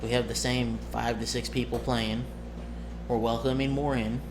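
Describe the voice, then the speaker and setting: Male streamer, mid-pitched voice, casual halting vlog talk, self-correcting, noticeable background noise. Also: little pitch movement. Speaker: streamer and tabletop game master. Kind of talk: casual rambling vlog to viewers.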